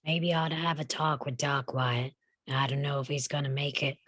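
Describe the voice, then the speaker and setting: Low, anxious cowboy posse member played by a woman. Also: steady tone, moderate pace, a bit bright. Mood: wary. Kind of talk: live table-read acting.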